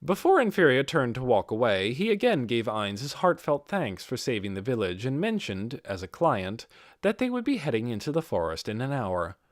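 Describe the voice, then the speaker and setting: A man narrates an audiobook with plenty of pitch movement. His voice is mid-range and recorded very dry, in a scripted storytelling style. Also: very animated, moderate pace, no echo. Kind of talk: expressive scripted fiction narration.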